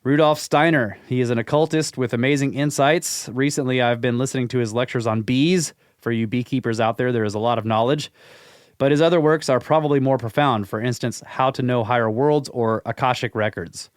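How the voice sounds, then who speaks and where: A man's voice: mid-range voice, brisk, no echo. Laid-back male podcast host, dry studio. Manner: laid-back, skeptical, chuckles often. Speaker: podcast co-host reading listener email. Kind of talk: casual podcast chat and email reading.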